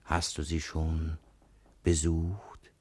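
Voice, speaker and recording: A sly, domineering old father from a period radio comedy. His voice is low and husky, and he takes his time, probing and repeating short words, with a jealous temper underneath. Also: completely flat delivery, very slow, full character voice. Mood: cunning interrogation. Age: older. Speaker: actor. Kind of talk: German radio play acting, period comedy.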